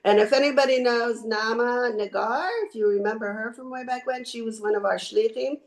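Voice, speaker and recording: Older woman with a creaky voice. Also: a little high, steady tone, a little fast. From born in Israel. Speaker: podcast guest, retired teacher. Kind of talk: casual conversational reminiscing.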